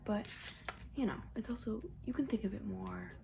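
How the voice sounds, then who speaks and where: A woman speaking in a soft, breathy near-whisper, rambling gently and hesitantly in casual ASMR style as a comforting camp counselor. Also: mid-range voice, normal speed, a little hiss or hum. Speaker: ASMR roleplay creator.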